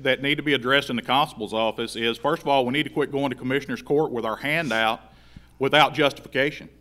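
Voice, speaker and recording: A man's voice: medium pitch, pushed and bright. A man answering as a candidate at a forum, assertive and repeating phrases for emphasis. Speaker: constable candidate.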